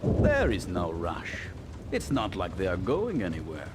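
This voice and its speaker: An actor playing a smug, gloating crime boss villain in a video game, grandiose and mock-affable. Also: male voice, deep voice, huge pitch swings, unhurried, full character voice. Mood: smugly affable. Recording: clearly noisy game scene.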